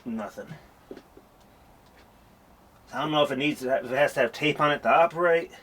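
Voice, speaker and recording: A man showing off an old tape machine in a casual walkthrough, speaking in an unhurried way and thinking aloud as he goes. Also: mid-range voice, fairly bright tone, a little hiss or hum. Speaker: hobbyist showing a tape recorder.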